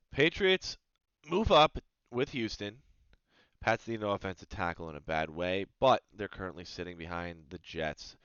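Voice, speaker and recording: A man with a low, smooth voice hosts his own sports video, reading a mock draft aloud. He reacts casually and with animation between the lines. The sound is dry and a little dull, as if from a modest home mic. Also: dry recording. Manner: animated, reads passages aloud then reacts with quick asides.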